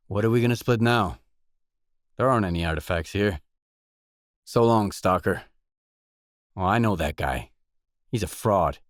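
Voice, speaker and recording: A man's voice: sits low, no echo, clean studio sound. An actor playing a wary, pragmatic male loner stalker in a video game, speaking in a terse, blunt, matter-of-fact way. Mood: blunt, disapproving. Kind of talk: game dialogue voice lines.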